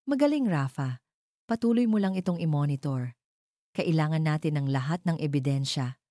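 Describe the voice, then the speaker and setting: A woman's voice: deep voice, expressive, normal speed, warm tone, no room sound, clean studio sound. A woman with a Filipino accent voicing a cold, vengeful wealthy wife who gives commanding orders in a story.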